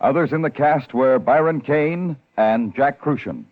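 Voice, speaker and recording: A man's voice: medium pitch, unhurried. A man announcing formally in the style of a 1950s radio show's credits. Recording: tinny 1950s radio broadcast.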